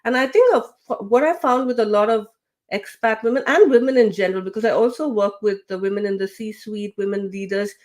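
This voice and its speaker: A woman's voice: medium pitch, fairly quick, a little mellow. A woman guest, a LinkedIn trainer and coach, talking casually in an interview with many fillers and self-corrections. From grew up with British English. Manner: chatty, many fillers and restarts.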